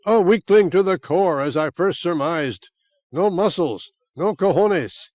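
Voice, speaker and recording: A man's voice: high voice, expressive, unhurried, darkish tone, no echo, lo-fi sound, voice pushed up. A man voicing a blustering, contemptuous male revolutionary leader in an audiobook, barking scornfully.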